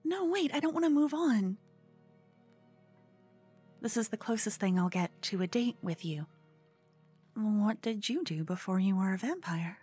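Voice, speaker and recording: A woman playing a cute, obsessed con-woman fangirl in a comedic ASMR roleplay, soft, often whispery, eager and cheekily salesy. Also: medium pitch, animated, unhurried, long pauses, slightly rough, faint music behind. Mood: pleading, curious. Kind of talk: ASMR roleplay, comedic one-sided dialogue.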